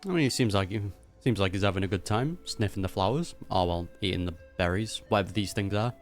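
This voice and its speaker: A woman's voice: rumbling low, lively intonation, fast. A woman giving casual, slangy reaction commentary while playing a game. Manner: slangy, informal asides. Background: game music and dialogue.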